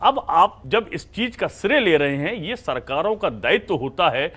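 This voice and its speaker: A man's voice: sits high, big pitch swings, normal speed, a bit bright. A man speaking as a party politician in a TV debate, arguing emphatically in formal phrases mixed with English words.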